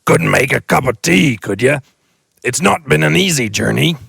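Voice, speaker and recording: A man voicing a huge, gruff but kindly giant with rough country speech in a storybook reading. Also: lively intonation, moderate pace, a bit bright, no room sound, clean studio sound, heavily acted. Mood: hearty. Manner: booming, broad rustic dialect.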